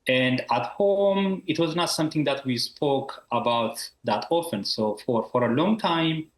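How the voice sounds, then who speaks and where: A man's voice: medium pitch, a little slow, reverberant, rough recording. A man from Ethiopia, a university professor in his thirties, answering interview questions over a video call with many fillers and restarts.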